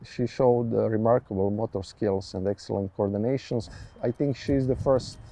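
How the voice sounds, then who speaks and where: Low mellow man, even delivery. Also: moderate pace, vocal fry. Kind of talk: interview talk.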